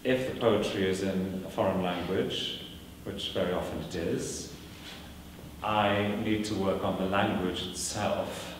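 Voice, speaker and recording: A man's voice: deep voice, steady tone, background noise. Man speaking in an echoey hall. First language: Welsh. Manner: measured, thoughtful, with many fillers and restarts. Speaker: performer giving a talk. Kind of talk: lecture answering audience questions. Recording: echoey hall recorded from a distance.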